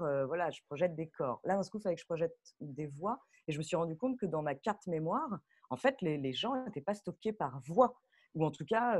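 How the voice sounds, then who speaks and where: A woman audio fiction director explaining her craft off the cuff in a live online talk, halting and animated as she thinks aloud. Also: fairly low pitch. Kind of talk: spontaneous spoken explanation at a live online masterclass. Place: bedroom, on a video call.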